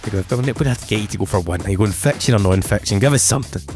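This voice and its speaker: A man's voice: on the low side, music in the background. A man hosting a local radio interview, upbeat and encouraging. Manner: upbeat and encouraging, with a quick stock of praise. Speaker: radio show host.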